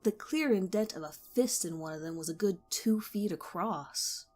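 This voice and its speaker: Low-voiced woman reading horror stories. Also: expressive, moderate pace, darkish tone, soft background music. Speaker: horror story narrator. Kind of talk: scripted horror narration.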